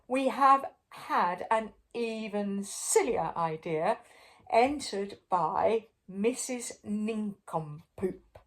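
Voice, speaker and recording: A woman voicing a grand, excitable storybook king who proclaims things pompously. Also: medium pitch, drawn-out words. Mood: announcing.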